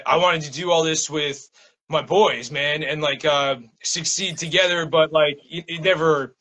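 Bright-voiced, higher-pitched man chatting casually. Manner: slangy, peppered with "like" and "man". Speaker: interview guest, poet and small-press publisher. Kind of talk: casual conversational interview.

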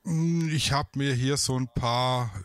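A man's voice: mid-range voice, slow, no room sound. A man from Baden-Württemberg with a light Swabian accent, a photographer chatting casually and rambling a little. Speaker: photographer guest. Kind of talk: casual podcast chat.

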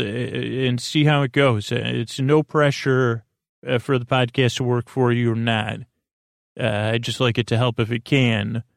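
A man with a creaky, gravelly voice hosts a rambling bedtime podcast. He speaks directly to the listener in halting, looping sentences that often backtrack. The sound is close and very dry. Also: medium pitch, dry recording. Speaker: podcast host.

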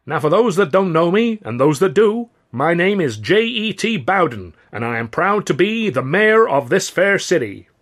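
A florid Southern US mayor, pitched up high and loud. He orates with beaming civic pride and boastful, rabble-rousing grandeur. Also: sing-song, moderate pace, hardly any pauses, exaggerated voice. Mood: proud, rousing. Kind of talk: public speech in an audiobook.

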